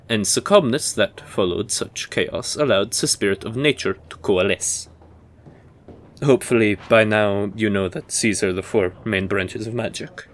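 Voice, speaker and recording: A man playing a measured, scholarly university professor who lectures formally and deliberately in a fantasy audio roleplay. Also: lowish voice, slight background noise, lightly acted. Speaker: professor giving a history lecture.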